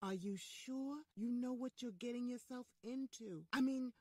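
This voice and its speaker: An actress as a loyal, worried friend who speaks bluntly in a radio drama. Her voice sits fairly high, emphatic and cautioning, recorded very dry. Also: unhurried, mellow, no echo. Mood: concerned. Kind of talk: old-time radio style dramatic acting.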